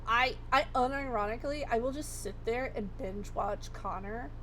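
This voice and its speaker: A woman livestreamer chatting casually, her voice going hoarse as she rambles and swears freely. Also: on the high side, moderate pace, sharp tone, slight background noise. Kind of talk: casual chat.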